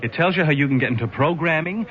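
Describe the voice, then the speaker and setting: An actor playing an eager, earnest young man pitching career training in a radio public service ad. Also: lively intonation, fast talker, close to an everyday voice. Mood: earnest, upbeat. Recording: muffled 1970s radio broadcast.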